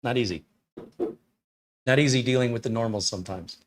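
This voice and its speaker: A man's voice: medium pitch, a little fast, a little hiss or hum. A man in his fifties or sixties, from Detroit, hosting a casual live stream as a tech reviewer, rambling on and answering viewer questions with blunt opinions. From Detroit, USA. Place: small home studio room.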